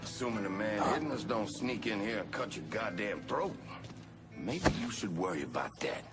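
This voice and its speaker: Game henchman played by a man: low-leaning, swinging delivery, sardonic and crude, under loud music. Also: lively intonation, moderate pace, lightly acted. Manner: sardonic, colloquial. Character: cynical, crude mob henchman grumbling about his bosses. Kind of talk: video game cutscene acting.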